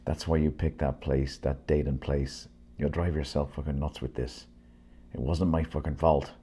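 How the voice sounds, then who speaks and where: A man's voice: very deep voice, steady tone, moderate pace, mellow, husky. A man voicing a grieving widower who swears bitterly at himself.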